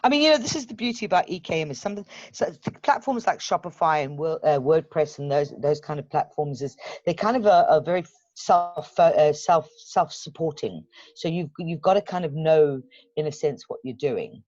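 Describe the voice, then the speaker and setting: A woman's voice: deep voice, lively intonation, moderate pace, darkish tone, no room sound. Chatty Zimbabwean woman, dull dry audio. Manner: chatty, many restarts and repeated words. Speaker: guest, business development. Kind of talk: casual interview chat.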